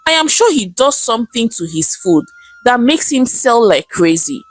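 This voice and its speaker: A woman's voice: a little high, sing-song, normal speed, no echo. A woman storyteller playing a determined, scheming auntie with a Nigerian accent, speaking with urgent insistence in a folktale. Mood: determined, suspicious.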